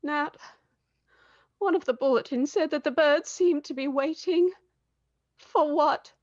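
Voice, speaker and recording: An actress playing an anxious, gentle but chiding wife and mother in a radio drama, with a nervy, pleading manner. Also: high-pitched, a little slow, no room sound, dull audio.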